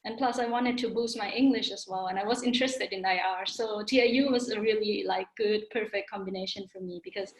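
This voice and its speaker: Brief: female alumna panelist, relaxed chatty delivery, reverberant room. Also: mid-range voice, brisk, hardly any pauses. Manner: friendly, loose, many fillers. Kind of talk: webinar panel talk. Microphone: video call.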